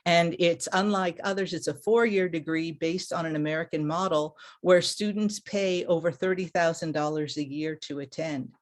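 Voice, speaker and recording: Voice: mid-range voice, fairly even intonation, moderate pace, hardly any pauses. Candid middle-aged webinar panelist. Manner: candid, conversational, frequent restarts and fillers. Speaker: webinar panelist, international credit evaluator. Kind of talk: unscripted webinar talk. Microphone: video call.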